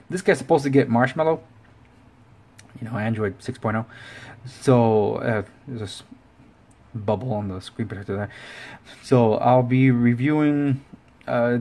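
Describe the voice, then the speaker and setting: A man hosting a casual tech review, talking informally and rambling with self-correcting asides. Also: medium pitch. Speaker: tech review show host. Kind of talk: casual video review talk to camera.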